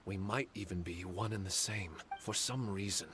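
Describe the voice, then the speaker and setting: A man's voice: deep voice, normal speed, noisy background, natural voice. A man voicing a courteous, earnest guardian spirit in a video game, speaking formally and humbly. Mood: thoughtful. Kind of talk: video game character acting. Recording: game music behind the voice.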